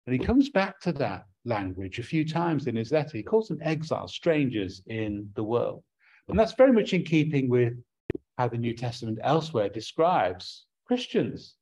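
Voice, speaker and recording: A man's voice: mid-range voice, sing-song, normal speed, darkish tone, breathy edge, rough recording. A middle-aged man with an English accent preaching a sermon from a small room at home, earnest and prone to restarting his words.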